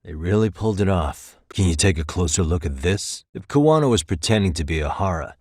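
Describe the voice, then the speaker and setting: A determined American private detective in a dramatic video game. His voice is deep with a husky edge, recorded close and dry. He speaks in a measured, probing way, sharp and dryly pointed. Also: animated, no room sound. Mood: analytical.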